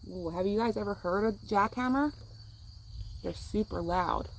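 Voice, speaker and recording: A woman's voice: medium pitch, measured pace, poor audio. A woman reading a picture book aloud to children, speaking simply like a teacher to young listeners. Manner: acts out sound words.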